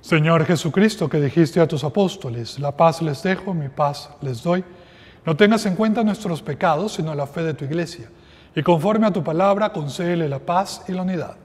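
A middle-aged man, a priest, reciting the prayers of Mass in a slow, solemn way. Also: fairly high pitch, a little mellow. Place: small chapel with altar. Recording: long reverb of a chapel.

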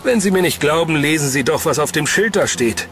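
Man playing a chatty sceptical local, horror drama, lively intonation, faint music. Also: fairly high pitch, fairly quick. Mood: mocking. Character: sceptical, gossipy male passer-by, mildly mocking. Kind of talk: horror audio drama acting.

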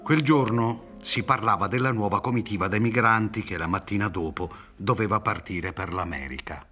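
A man's voice: lowish voice, normal speed, bright, forceful tone, faint music behind. A middle-aged man reading scripted literary narration for a radio drama. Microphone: studio microphone, seated on a theatre stage.

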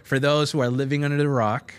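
A man in his thirties hosting a casual faith podcast from a small studio, upbeat and quick to laugh. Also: fairly high pitch, flat delivery, clear, resonant tone. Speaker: podcast host. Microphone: boom-arm studio mic.

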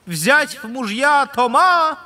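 A man voicing a solemn older wedding priest in a cartoon, ceremonial and drawn-out. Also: extremely high pitch, animated, pushed and bright, full character voice.

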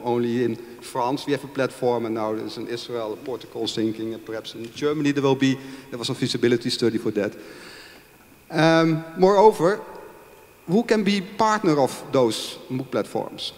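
A man in his fifties speaking emphatically as a panelist, arguing with rhetorical questions into a handheld microphone. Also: medium pitch. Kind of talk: panel discussion argument. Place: large conference room with audience. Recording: echoey hall over handheld microphone.

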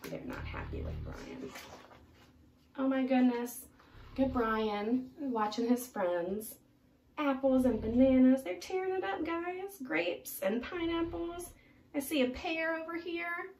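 Animated young woman reading to children. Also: sits high, slow pace. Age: young adult. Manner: expressive, emphatic, talking to young children. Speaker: children's read-aloud presenter. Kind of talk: animated picture-book read-aloud for children. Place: small indoor room, seated in armchair. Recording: roomy, distant mic, faint noise.

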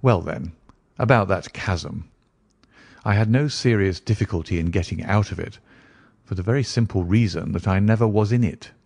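A man voicing a composed, self-assured gentleman detective in an audiobook, with measured, formal phrasing. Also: on the low side, vocal fry, no room sound. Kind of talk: audiobook character dialogue.

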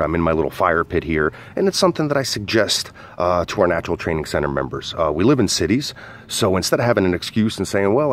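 A man, an outdoor skills instructor, casually explaining a fire starter while demonstrating it in his backyard, with lots of "uh" and "go ahead". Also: deep voice. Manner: relaxed, filler-heavy explaining.

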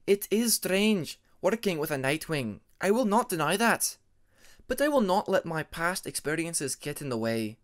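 A man voicing a formal, sincere female ice dragon in a measured manner for a fan fiction audiobook. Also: fairly high pitch, big pitch swings, pitched above the performer's own voice.

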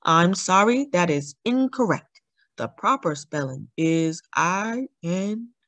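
A woman voicing a formal male spelling bee announcer in a storybook, measured and official. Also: lowish voice, expressive, a bit bright, dry recording, heavily acted. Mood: regretful, official. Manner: measured, official, clearly enunciated.

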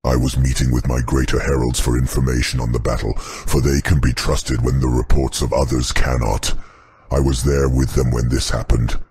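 A man voicing an imperious, vengeful demon king in a very deep, booming, slow and pompous audiobook performance. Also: little pitch movement, a little mellow, husky, dry recording, clean studio sound, heavily acted. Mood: angry. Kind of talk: audiobook character acting.

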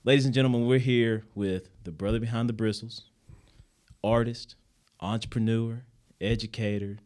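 A man's voice: medium pitch, steady tone, normal speed, darkish tone. A man hosting a podcast from a school studio, giving upbeat, hype-filled welcomes and questions with casual fillers. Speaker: podcast host, history teacher.

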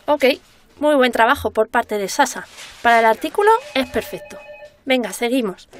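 Radio serial: inquisitive female reporter voiced by an actress, excitable, colloquial, slightly high voice. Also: moderate pace, faint music behind, lightly acted. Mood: brisk, businesslike. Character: inquisitive, excitable woman journalist investigating a murder. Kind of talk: naturalistic Spanish radio drama dialogue.

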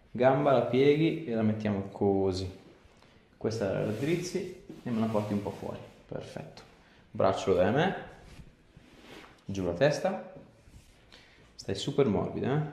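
A man's voice: medium pitch, a little mellow. A male chiropractor, coaxing a patient through treatment in short, repeated directions. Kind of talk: hands-on instructions to a patient during a treatment. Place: small clinic treatment room, indoors. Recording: echoey small room with clear background noise.

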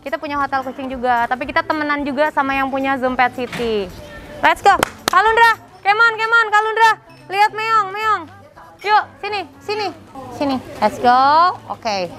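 A woman's voice: high-pitched, expressive, moderate pace, over music. A woman in her thirties hosting a casual family vlog at an outdoor pet cafe, chatty and playful, coaxing her kids along. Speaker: vlog host.

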